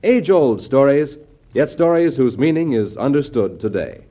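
A man's voice: mid-range voice, animated, moderate pace. A man with an American accent reading scripted promotional announcements in a polished, emphatic 1940s network radio style. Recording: dull old radio broadcast, music behind. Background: music.

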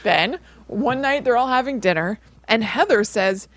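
A woman's voice: a little high, animated, normal speed, fairly bright tone. A woman co-hosting a podcast, telling stories in a casual, rambling way with wry, playful teasing. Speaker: podcast co-host. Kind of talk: casual chatty storytelling.

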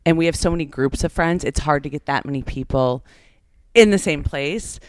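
A woman's voice: low voice, moderate pace, dry recording. A woman in her thirties from Michigan, chatting casually as a podcast co-host and filling her talk with "like". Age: 30s. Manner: chatty, fills speech with "like". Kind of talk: casual chat.

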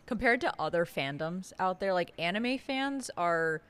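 A woman's voice: fairly low pitch, lively intonation, measured pace, fairly bright tone, smooth. A woman chatting casually as a podcast panelist, very informal, saying "like" often. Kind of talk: casual chat.

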